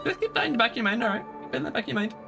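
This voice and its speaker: A man's voice: extremely high pitch, animated, rapid-fire, gravelly, music in the background. A man chatting casually and informally as he streams a game and talks to his viewers. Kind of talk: casual live stream commentary.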